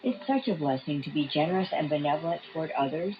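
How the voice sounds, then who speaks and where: A woman playing a gentle, benevolent blue tang fish plumber, earnestly presenting scripted helpful tips over a lo-fi shortwave broadcast. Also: deep voice, animated, quick pace. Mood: cheerful, benevolent. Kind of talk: scripted TV tip narration. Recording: dull lo-fi shortwave radio broadcast. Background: music.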